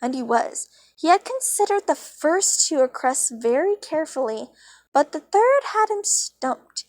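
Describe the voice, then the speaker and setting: A woman's voice: high-pitched, sing-song, unhurried, darkish tone, no room sound. A woman reading a story aloud as an amateur narrator, informal and dramatic, with casual asides. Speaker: fan fiction reader.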